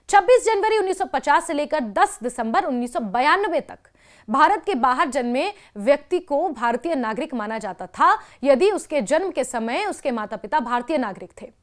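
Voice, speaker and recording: A woman's voice: high-pitched, a bit bright. A woman with an Indian accent presenting a scripted news explainer in a didactic way.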